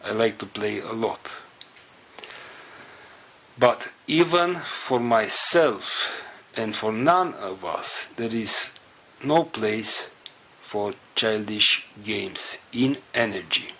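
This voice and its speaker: A man with a Romanian first language gives an unscripted spiritual talk to his group. His voice is husky and sits a little low. He speaks in short, halting fragments at a very unhurried pace. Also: a bit bright.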